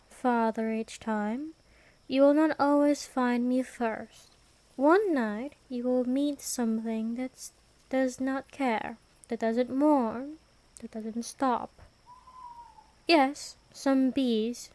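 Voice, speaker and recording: Slow, high-voiced vampire queen, played by a woman. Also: warm tone, no room sound. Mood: grave warning. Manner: measured, formal, imperious. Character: ancient vampire queen, aloof, menacing yet protective woman. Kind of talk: ASMR roleplay, scripted fantasy monologue.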